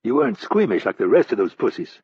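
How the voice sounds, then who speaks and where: Dude doing a tough swearing agent, barks it out, husky, flat dull sound. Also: male voice, medium pitch, fast, dry recording, pitched above the performer's own voice, exaggerated voice. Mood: gruff. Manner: barking, profane. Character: hard-bitten, foul-mouthed male field agent. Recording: dull, lo-fi dry audiobook recording.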